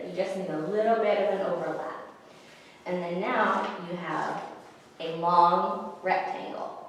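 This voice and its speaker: A woman's voice: on the low side, slight background noise. A woman hosting story time, narrating and explaining things simply for young children. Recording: echoey hall, distant microphone.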